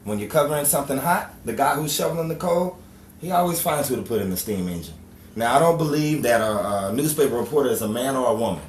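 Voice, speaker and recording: A man playing a gruff, blustering, bossy 1930s newspaper editor with hard-boiled slang in an old-time radio drama. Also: medium pitch, lively intonation, quick pace, natural voice. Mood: brusque, firm. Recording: distant roomy recording with faint noise.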